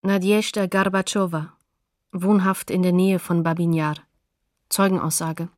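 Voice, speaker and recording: Woman announcer reading documentary credits, neutral, lowish voice, super dry studio. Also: fairly even intonation, measured pace, no echo. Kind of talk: scripted documentary narration, neutral source announcements.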